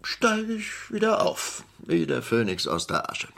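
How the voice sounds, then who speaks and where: Sly tycoon husband, radio crime play, gravelly, forceful, hesitant, theatrical. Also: male voice, mid-range voice, huge pitch swings, full character voice. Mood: deliberate, sly. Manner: theatrical, halting, deliberate. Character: wealthy, sly, hesitant Greek shipping businessman and husband. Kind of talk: vintage German crime radio play acting.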